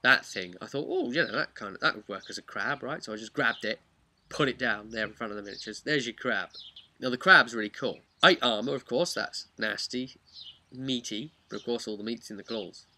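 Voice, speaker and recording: A man, a game master, casually recapping a tabletop session in an animated, rambling, emphatic way. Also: mid-range voice, a little fast, slight background noise. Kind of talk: casual unscripted storytelling vlog.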